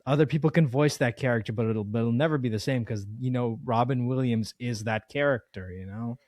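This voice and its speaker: A man's voice: mid-range voice, brisk, a little mellow, dry recording, clean studio sound. A man hosting an interview in a casual, informal, conversational way. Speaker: podcast interviewer.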